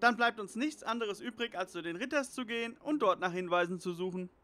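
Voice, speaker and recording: A self-important, know-it-all young male sleuth, played by an actor with a sharp, bright voice. He sounds like part of a spoof detective radio play. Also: high-pitched, a little fast. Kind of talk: German audio drama parody acting.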